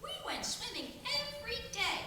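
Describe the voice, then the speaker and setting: A woman's voice: very high-pitched, big pitch swings, moderate pace. A woman voicing an earnest, youthful talking animal in a farm radio play. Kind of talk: stage radio play acting. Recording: echoey hall from far off, noisy.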